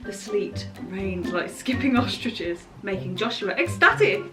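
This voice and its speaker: A woman's voice: mid-range voice, sing-song, a little slow, far from the mic. A woman doing a playful dramatic reading with banter, often giggling as she goes. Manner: giggly, often breaking into laughter. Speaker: vlogger reading generated stories. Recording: echoey room with music behind.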